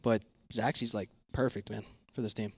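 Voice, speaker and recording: A man with a slightly hoarse, raspy voice, chatting informally in slang as a player giving a post-match interview and analysis. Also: lowish voice, steady tone, moderate pace, a bit bright, no echo. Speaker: pro esports player interviewed as guest analyst.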